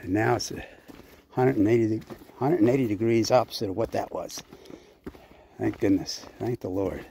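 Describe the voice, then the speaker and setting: A man casually narrating his hike to a handheld camera outdoors on a mountain trail, chatty and unhurried, winded at times from the climb. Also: on the low side, gravelly, mellow, a little hiss or hum. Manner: chatty, unhurried, reads signs aloud. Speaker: hiking vlogger.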